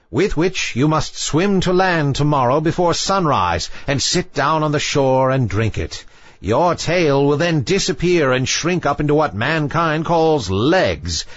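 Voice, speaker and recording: A man's voice: mid-range voice, no echo, highs cut off, pitched above the performer's own voice. A man voicing a sinister, scornful old female sea witch in a storybook fairy tale, with theatrical, bargaining delivery.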